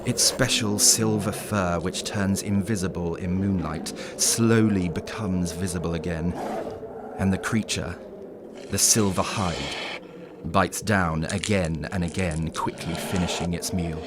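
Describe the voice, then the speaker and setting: A man narrating a scripted horror story in a dramatic, deliberate storytelling manner. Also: deep voice, fairly even intonation. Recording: clearly noisy background ambience.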